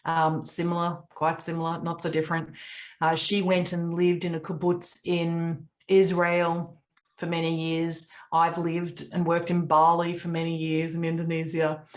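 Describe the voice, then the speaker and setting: A woman in her late forties, raised in Papua New Guinea, coaching a group on a video call in an earnest, reflective way with long pauses. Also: on the low side, completely flat delivery.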